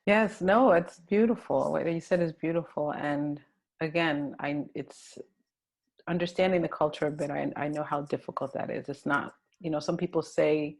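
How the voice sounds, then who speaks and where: Gravelly-voiced woman interviewer. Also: sits low, normal speed. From Sudan. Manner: thoughtful, with many fillers and "you know"s. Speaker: interviewer, filmmaker. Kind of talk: festival Q&A conversation.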